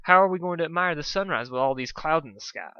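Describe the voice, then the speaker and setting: Young male city pony, cartoon fanfic, man performing, formal and earnest, quick to fluster, forceful tone. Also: on the high side, clear, resonant tone, no echo, voice pushed up. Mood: indignant. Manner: formal, earnest, prone to protest. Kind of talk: amateur storybook character reading. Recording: dull, lo-fi home recording.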